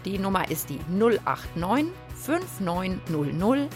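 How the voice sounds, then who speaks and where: A woman, hosting a children's radio show with lively reporting and chat. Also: medium pitch, slow pace, soft background music.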